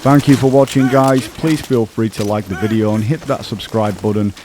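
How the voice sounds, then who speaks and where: A man narrating a scripted video essay about games as a YouTube commentator, explaining in an earnest, measured way. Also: on the low side, steady tone, noisy background.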